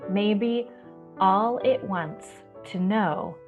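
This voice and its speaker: A woman hosting a children's storytime, reading and explaining in a soft-spoken, reassuring, measured way. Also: mid-range voice, phone-quality sound, soft background music. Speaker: children's library storytime host. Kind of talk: gentle picture-book reading and explaining to children.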